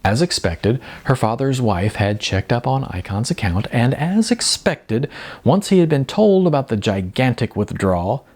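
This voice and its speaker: This is a man reading scripted fiction aloud. His voice sits fairly low and has a creaky, husky grain. His intonation rises and falls in a lively way across the narration. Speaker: audiobook narrator.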